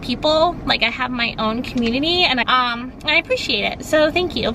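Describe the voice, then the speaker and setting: A woman chatting casually as a craft vlogger in a nasal voice, with a bubbly, expressive, gushing manner. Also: high voice, a little hiss or hum, poor audio. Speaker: craft and home decor YouTuber. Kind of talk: casual vlog chat.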